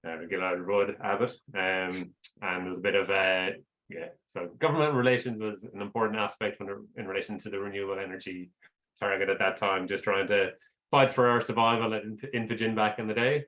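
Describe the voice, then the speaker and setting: A man in his forties, an investor relations head from outside Australia, answering interview questions on a video call in a relaxed way with many fillers. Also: fairly low pitch, fairly quick, low-quality recording.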